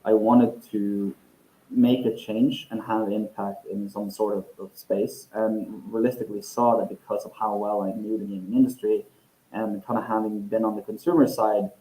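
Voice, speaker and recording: A man's voice: on the low side, level tone, dark tone, slight background noise. Man on an echoey call. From Norway. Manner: thoughtful, with restarts and drawn-out phrases. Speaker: tech company CEO guest. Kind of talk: casual interview over a call. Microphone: video call.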